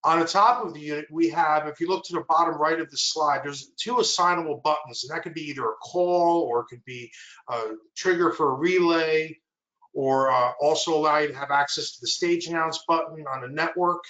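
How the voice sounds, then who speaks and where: A man's voice: a little high, a little fast, echoey room, dull audio. A man giving a product presentation, walking through slides and asking for the next one. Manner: steady explanatory walkthrough, asks for the next slide.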